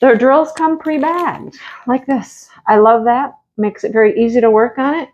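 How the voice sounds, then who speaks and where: A woman's voice: on the high side, moderate pace, narrow, tinny audio. Chatty woman, a bit stuffy. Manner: chatty, homey, talks to viewers directly. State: stuffy with a slight cough. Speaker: crafting channel host. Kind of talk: casual unboxing chat.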